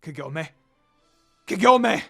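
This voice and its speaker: A man's voice: high voice, expressive, takes it slowly, gravelly, sharp tone, faint music behind, voice pushed up, full character voice. A man voicing a proud, protective male demon lord in an anime fan story, intense and emotional. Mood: anguished cry.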